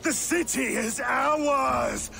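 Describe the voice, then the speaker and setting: Slow, gravelly old Viking warlord. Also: male voice, very high voice, full character voice. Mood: triumphant. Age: older. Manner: declamatory, weighty. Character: grizzled old Viking warlord, proud and booming. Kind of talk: dramatic video game cutscene acting. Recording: loud music behind the voice.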